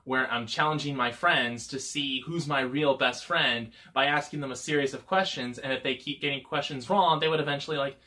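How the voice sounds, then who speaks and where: A man's voice: medium pitch, brisk, hardly any pauses, pushed and bright. A man in his twenties talking casually to camera as a vlogger, rambling with lots of filler words. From Michigan, US. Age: 20s. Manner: rambling, many "you know" fillers.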